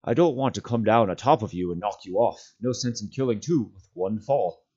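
A man's voice: on the low side, lively intonation, normal speed, dark tone, husky, lo-fi sound. A man voicing a loyal, gloomy hobbit servant with a plain-spoken, folksy, grumbling manner in a storybook reading. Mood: gloomy, stubborn.

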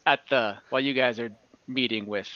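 A man running an online tabletop fantasy game as game master, narrating off the cuff in halting fragments. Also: mid-range voice, fairly even intonation, no room sound. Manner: halting, pieces scenes together in fragments. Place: indoors at home, on a group video call. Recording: slightly dull video-call audio.

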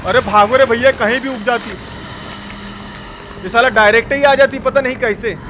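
A man with a Bihari accent giving excited, colloquial gameplay commentary as a live-streamer, repeating himself and exclaiming. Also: extremely high pitch, moderate pace, dull audio. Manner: colloquial, repetitive, exclaiming, mock-abusive. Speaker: live-streamer playing a horror game. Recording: noisy stream with game sounds behind.

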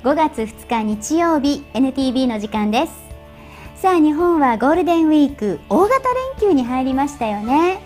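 High-pitched woman presenting the news. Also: animated, moderate pace, darkish tone, faint music behind. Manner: polite, clearly articulated. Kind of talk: scripted news presentation and narration. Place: TV studio.

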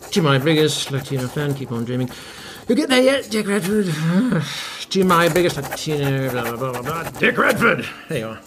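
A man's voice: a little high, very animated, moderate pace, background noise. An actor in a comedy podcast playing a washed-up middle-aged rock star, boastful and needy, stammering as he rambles matily. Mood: breezy, showy. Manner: stammering, rambling, faux-matey.

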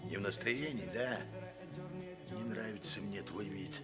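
An actor playing a small, excitable, menacing mafia thug in a radio drama, falsely friendly and taunting. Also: male voice, fairly low pitch, unhurried, loud music behind the voice, natural voice. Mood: quietly menacing. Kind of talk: Soviet radio drama acting.

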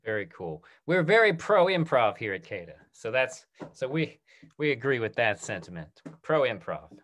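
A man's voice: mid-range voice, very animated, moderate pace, a bit bright. A man in his thirties casually hosting a question-and-answer panel over a video call. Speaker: panel host. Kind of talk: casual moderated Q&A. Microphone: video call microphone.

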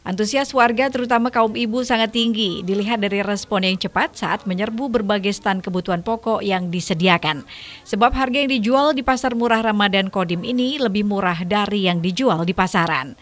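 The mid-pitched woman you hear narrating a field report on local evening television news. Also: brisk. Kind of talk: scripted voice-over narration.

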